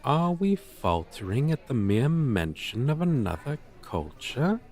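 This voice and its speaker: A man's voice: medium pitch, big pitch swings, measured pace, a little mellow. A man playing a pompous, flirtatious dragon teacher in an ASMR roleplay, speaking in florid, formal, condescending phrases. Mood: smug, haughty. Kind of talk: ASMR roleplay, slow theatrical delivery.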